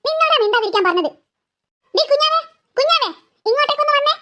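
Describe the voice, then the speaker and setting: A woman's voice: very high voice, lively intonation, quick pace, bright, forceful tone, heavily acted. A woman voicing an exasperated mother who scolds her children with impatient sarcasm in a comic family skit. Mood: stern.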